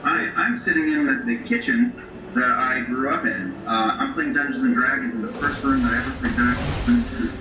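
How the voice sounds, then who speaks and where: Male tabletop player, mid pitch, very expressive, casual. Roomy, distant sound. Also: normal speed. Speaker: show regular and player. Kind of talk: casual chat at a tabletop game. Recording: echoey distant mic, clear background noise.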